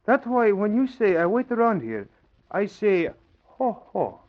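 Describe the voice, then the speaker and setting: A man's voice: high voice, very animated, a little slow. A man playing a stubborn, cocky island crook in clipped broken English, in 1940s radio drama style. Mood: sly. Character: tough, stubborn male Pacific island crook, cocky and unruffled. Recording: dull old 1940s radio recording.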